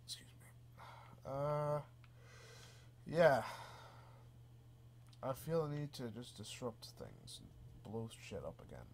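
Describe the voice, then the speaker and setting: A man, stuffy from a cold, casually commenting on his own gameplay with relaxed, easily amused remarks and mild swearing. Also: mid-range voice, slow, vocal fry, pauses often, slight background noise. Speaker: gaming channel host. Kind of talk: casual let's play commentary.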